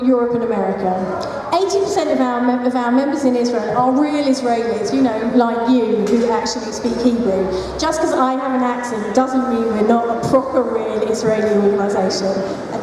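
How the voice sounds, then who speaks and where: A woman gives a chatty conference presentation, with self-deprecating jokes and asides. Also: on the high side. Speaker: founder of a support group for single mothers. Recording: echoey hall, mic heard from a distance, noisy.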